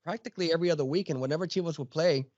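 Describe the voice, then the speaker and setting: Mexican-born man, halting podcast guest. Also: on the high side, darkish tone, dry recording, lo-fi sound. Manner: halting, many fillers. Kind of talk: casual chat.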